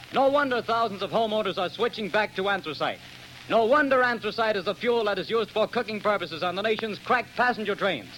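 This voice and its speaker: A male announcer with a high voice reads a radio commercial smoothly and persuasively. He sounds like an old-time broadcast, with a faint hiss underneath. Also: a bit bright, poor audio. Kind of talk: scripted radio ad read.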